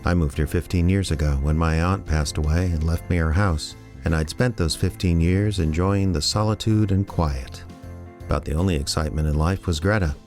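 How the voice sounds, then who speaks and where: Reluctant small-town gumshoe, low deadpan delivery, radio drama with music underneath. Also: male voice, normal speed. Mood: wry, reflective. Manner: deadpan, wry. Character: wry, reluctant small-town amateur private detective narrating his story. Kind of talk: audio drama narration and dialogue.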